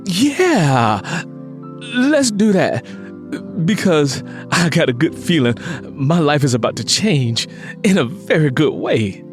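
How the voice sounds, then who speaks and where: A hopeful, smitten working man as a male narrator plays him, easygoing and streetwise. His casual, playful talk lifts and dips with lively pitch over background music. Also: takes it slowly. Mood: hopeful, happy. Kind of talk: audiobook character dialogue.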